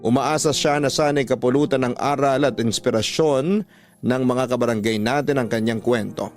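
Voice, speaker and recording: A Filipino man hosting a radio story program, narrating scripted drama in a measured, deliberate way with drawn-out phrase endings. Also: mid-range voice. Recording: dry studio voice over clear background music. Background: background music.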